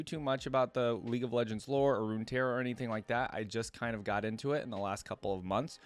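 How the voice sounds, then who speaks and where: A man hosting a reaction video with casual, informal and enthusiastic commentary. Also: mid-range voice.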